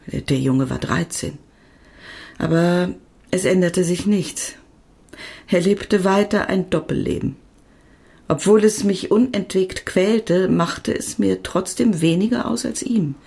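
A woman's voice: on the low side, slow pace, creaky, mellow. An actress playing a devoted woman doctor who tells of her love in a reflective, intimate radio drama monologue. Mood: reflective, tender.

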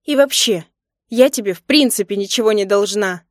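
A woman's voice: mid-range voice, moderate pace, no room sound, clean studio sound, lightly acted. A woman voicing an indignant, hurt young wife who snaps back emphatically in an audiobook story. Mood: defiant. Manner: snappy, emphatic, raised voice.

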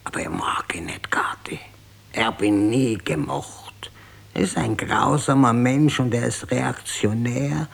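An actor playing a bitter, weary old Austrian emperor in a radio drama, grumbling and brooding with an Austrian accent and a creaky, gravelly voice. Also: male voice, mid-range voice, exaggerated voice. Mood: brooding, distrustful.

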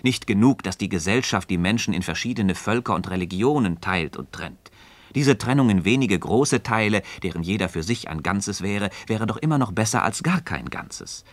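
An actor playing an earnest philosopher in a literary radio dialogue, speaking formally and didactically, building each point with emphasis. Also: male voice, fairly low pitch, lively intonation, normal speed, dry recording, lightly acted. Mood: emphatic, reasoning. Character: earnest, insistent male philosopher leading a Socratic dialogue. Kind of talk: German literary radio play dialogue, formal stage diction.

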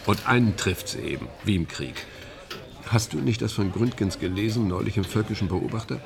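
A man's voice: on the low side, moderate pace, gravelly, a crowd in the background. An actor playing an ingratiating, evasive man in a German radio drama, hesitant and always excusing himself. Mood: chatty.

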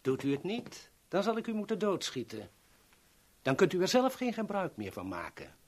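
An actor playing a courteous, coolly menacing villain in a 1970s Dutch radio drama, speaking formally and politely. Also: male voice, sits high, wildly swooping pitch, normal speed, breathy edge, heavily acted. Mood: cold menace.

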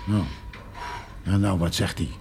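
A stubborn, ailing farmer, in a radio drama. His voice is low and mellow, yet he is gruff and restless, with faint noise behind him. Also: fairly even intonation, a little slow. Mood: impatient. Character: stubborn middle-aged or older farmer laid up in hospital. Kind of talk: Dutch radio play dialogue.

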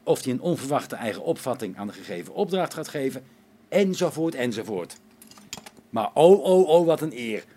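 Guy acting a grumpy sarcastic space station techie, radio drama, swingy pitch, bit of noise behind. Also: very animated, normal speed, mellow, close to an everyday voice. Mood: sarcastic, exasperated. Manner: sarcastic, exasperated complaining. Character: grumbling, sarcastic male space-station technician wary of new robots. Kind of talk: Dutch radio play acting.

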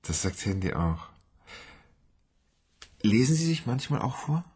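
A man's voice: medium pitch, big pitch swings, unhurried, darkish tone, breathy edge. An actor playing a naive, childlike grown man who earnestly repeats his girlfriend's sayings. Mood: naive, chatty. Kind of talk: radio play acting.

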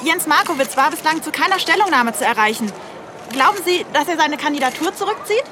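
A pushy reporter in a radio satire. Her voice is very high, with a clear crowd and noise around her. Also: expressive, fairly quick. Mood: probing. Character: pushy female news reporter seeking statements. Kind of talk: German satirical radio drama.

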